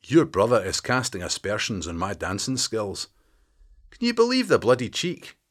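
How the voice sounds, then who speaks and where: A man's voice: fairly low pitch, normal speed. A man doing a wry Scottish detective. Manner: wry, teasing, deadpan. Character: dry-humoured veteran male detective past forty.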